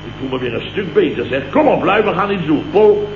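Casting: boastful, exclamatory boss for old radio comedy; echoey, noisy vintage sound. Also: male voice, fairly high pitch, sing-song, fairly quick, highs cut off. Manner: rambling, exclamatory, full of stammers and interjections. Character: blustering, boastful, weary male boss and amateur night watchman. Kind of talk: 1970s Dutch radio comedy acting.

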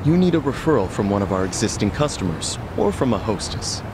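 A man's voice: on the low side, big pitch swings, brisk, noisy background. An actor playing a polite, rule-bound middle-aged club doorman in a video game, speaking formally and firmly. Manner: formal, firm.

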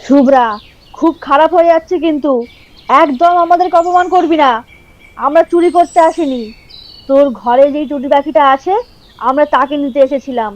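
A woman's voice: high-pitched, normal speed, long pauses, highs cut off, close to an everyday voice. A woman playing a scheming, brazen village woman in a doll-story drama, with a theatrical, argumentative manner. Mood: indignant.

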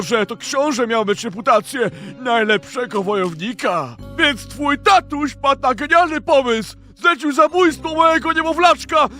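A man's voice: extremely high pitch, pushed and bright, exaggerated voice. A man voicing a campy, doting yet scheming alien warrior father with theatrical, mock-sweet speech in a parody anime fandub. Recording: music behind the voice.

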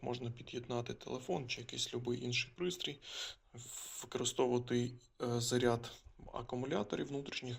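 A man's voice: mid-range voice, vocal fry, slightly rough. A man giving a casual, unscripted product demonstration, explaining and thinking aloud as he goes. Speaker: gadget reviewer. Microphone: phone filming the demonstration.

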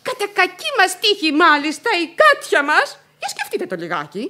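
A flighty young wife in a comic radio play. Her high voice swoops about theatrically as she chatters on, coquettish and gushing. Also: wildly swooping pitch, sharp tone, heavily acted. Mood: chatty, dramatic. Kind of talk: old Greek radio theatre comedy acting.

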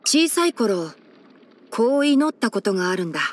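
Boyish anime game fox ranger guy, kinda high voice, helpful n frank, bit teasing, scholarly. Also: sing-song, moderate pace. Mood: reflective. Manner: matter-of-fact, instructive, gently teasing. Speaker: character voice actor. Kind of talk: anime game character voice lines.

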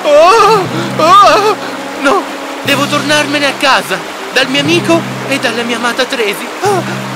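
A woman's voice: sits high, lively intonation, a little slow, very noisy. A woman voicing a brave little tin soldier in a children's fairy tale, dramatic and full of exclamations. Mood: panicked. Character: brave, determined little tin soldier, lovesick toy captain.

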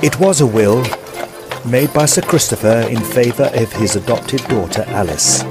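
A man's voice: medium pitch, normal speed, over loud music, natural voice. A man playing an earnest, polite Edwardian estate agent who narrates a mystery in an audio drama. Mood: measured. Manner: formal, polite. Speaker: narrator and character.

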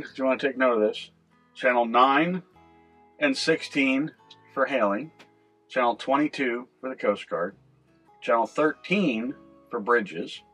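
Middle-aged male, North American, slightly deep voice, informal instructional vlog host, plain-spoken and explanatory, music behind. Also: a bit bright, low-quality recording. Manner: explanatory, conversational. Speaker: boating video host. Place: inside a small boat cabin at the helm.

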